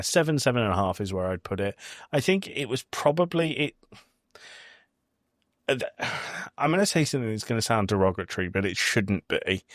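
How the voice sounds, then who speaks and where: A man's voice: on the low side, no room sound, studio quality. A man co-hosting a podcast, chatting casually about films in a blunt, conversational way with some swearing. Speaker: podcast host. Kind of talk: casual film review chat.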